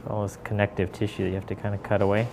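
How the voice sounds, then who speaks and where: A man's voice: fairly low pitch, completely flat delivery, racing, creaky. A man speaking as a surgeon, explaining things informally, with noise behind him. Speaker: eye surgeon.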